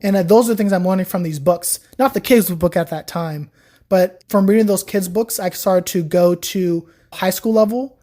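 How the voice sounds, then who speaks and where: A woman's voice: fairly low pitch, moderate pace. Chatty young woman telling a story. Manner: chatty, animated storytelling with frequent "like". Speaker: podcast guest, Chinese learner. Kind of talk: casual interview chat.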